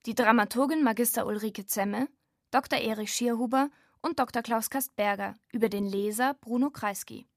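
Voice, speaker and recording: Dry, higher-voiced woman narrating. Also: normal speed, no room sound. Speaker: narrator of a radio documentary. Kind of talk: scripted narration.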